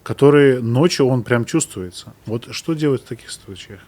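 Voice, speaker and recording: A man's voice: mid-range voice, normal speed, darkish tone. Hesitant man in his thirties. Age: 30s. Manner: casual, hesitant, searching for words. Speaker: host. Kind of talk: casual interview chat.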